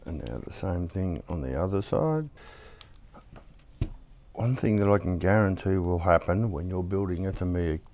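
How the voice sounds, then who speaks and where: Host type: lowish, slightly croaky male voice, easygoing and chatty, talking through a kit build. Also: lively intonation, normal speed, long pauses, a little mellow. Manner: chatty and easygoing, thinking aloud with asides. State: slightly croaky after being ill. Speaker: hobby shop host building a model kit. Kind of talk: casual build tutorial.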